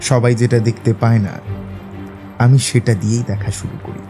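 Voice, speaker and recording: An actor playing a shrewd, self-assured male detective in an audio drama, speaking in a measured, analytical way. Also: medium pitch, level tone, music in the background, close to an everyday voice. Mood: probing, composed. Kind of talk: Bengali audio drama acting.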